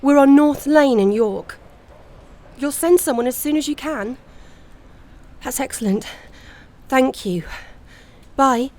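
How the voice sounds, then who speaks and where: A woman's voice: sits high, slow pace, pauses often, darkish tone. A woman playing a worried, practical young woman in an audio play, polite and earnestly questioning.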